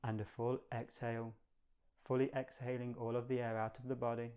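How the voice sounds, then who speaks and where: A man guides a meditation in a smooth, resonant voice of medium pitch. His intonation stays very even, with little rise or fall. He gives gentle instructions in a calm, measured, soothing way.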